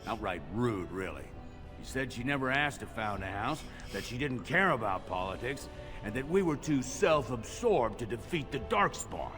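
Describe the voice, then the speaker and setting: Man acting a haughty, lively-pitched dwarf townsman. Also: moderate pace, music in the background, lightly acted. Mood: disdainful gossip. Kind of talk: fantasy game dialogue.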